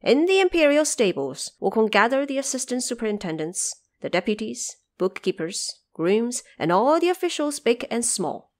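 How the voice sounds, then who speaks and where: A woman's voice: a little high, very animated, normal speed, hardly any pauses. A woman narrator telling an epic adventure story in a scripted, dramatic storytelling style.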